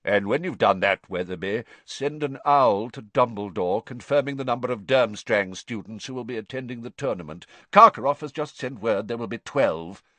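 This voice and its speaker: A raving, exhausted old official spits out his words in broken fragments, with a man reading the part pitched high and strained. The voice swings wildly between whispers and desperate cries, recorded in a dry, close room. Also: expressive, moderate pace, hardly any pauses, sharp tone, dry recording. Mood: distracted. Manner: broken, gasping, fragmentary speech. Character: deranged exhausted older male official, raving.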